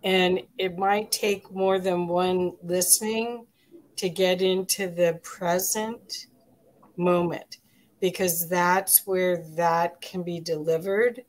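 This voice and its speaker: A middle-aged woman hosting an interview over a video call, speaking warmly with halting restarts. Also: on the low side, steady tone, a little hiss or hum. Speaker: host. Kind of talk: casual interview talk.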